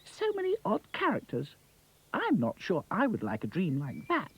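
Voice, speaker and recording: A man's voice: high voice, wildly swooping pitch, moderate pace, a bit bright, husky, voice pushed up, full character voice, low-quality recording. A man voicing a dreamy, bookish female toy who muses aloud. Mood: musing.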